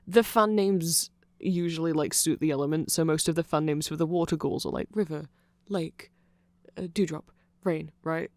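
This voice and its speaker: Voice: medium pitch, moderate pace, no room sound, close to an everyday voice. A nerdy vampire played by an ASMR performer explains things in an eager, self-conscious ramble. The voice sits in a middle register and keeps wandering off on tangents and apologizing. The sound is close and dry, with very little room to it. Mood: rambling, explanatory.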